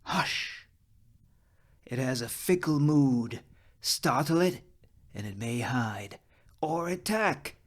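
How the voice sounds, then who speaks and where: A man voicing an ominous, grandiose freak show barker in an old West drama read-through, announcing theatrically and dropping to hushed warnings. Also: medium pitch, lively intonation, slow pace, long pauses, pitched above the performer's own voice.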